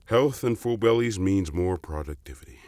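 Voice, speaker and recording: A man playing an amused, seasoned statesman in a fantasy audio drama, with a calm and conciliatory manner. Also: sits low, moderate pace, no echo, studio quality. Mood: reasonable. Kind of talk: dramatic audio roleplay acting.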